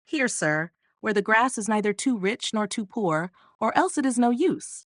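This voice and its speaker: A woman's voice: on the high side, animated, moderate pace, dry recording, clean studio sound, lightly acted. A woman voicing an old male cowherd in a storybook, explaining things plainly and without hurry. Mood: matter-of-fact. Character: old male cowherd telling country lore.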